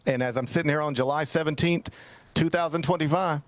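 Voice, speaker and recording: A man's voice: a little high, steady tone, a little fast, dry recording. A middle-aged man hosting a sports talk show, chatting casually and telling a rambling, self-mocking story. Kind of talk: casual radio-style chat.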